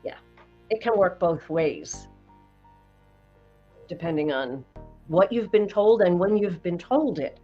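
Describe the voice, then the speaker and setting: A woman in her sixties with a slightly low voice, a voice coach telling stories in an interview with slow, emphatic phrasing. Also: highs cut off, soft background music. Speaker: voice coach guest. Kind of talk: conversational podcast interview.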